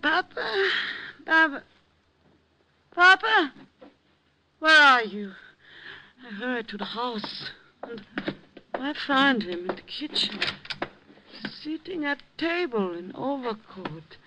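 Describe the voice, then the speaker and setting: Bright-voiced, anxious old immigrant mother. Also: sits high, very animated, a little hiss or hum, heavily acted, poor audio. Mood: worried recollection. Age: older. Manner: halting, broken English, emotional. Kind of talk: 1950s radio drama acting. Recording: vintage radio recording with music.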